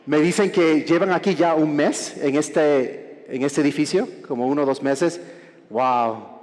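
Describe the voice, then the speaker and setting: A Puerto Rican man in his forties, a pastor preaching a sermon with joking asides and halting restarts. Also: fairly high pitch, a little mellow. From Puerto Rico. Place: large church hall. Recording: reverberant church hall.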